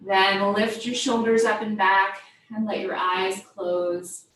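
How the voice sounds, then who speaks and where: A woman teaching yoga, guiding a body scan with gentle, invitational step-by-step cues. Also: mid-range voice, flat delivery, slow pace. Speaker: yoga instructor. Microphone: distant microphone. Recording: very echoey room, distant mic, faint noise.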